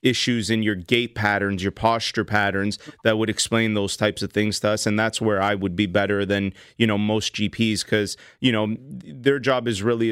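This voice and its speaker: A man in his thirties with a fairly low, crisp, clear voice explains health matters to callers in a measured way. He sounds close and dry, as in a radio studio. He often restarts his sentences. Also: fairly bright tone, no room sound. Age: 30s. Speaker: doctor and radio show host. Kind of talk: call-in health advice.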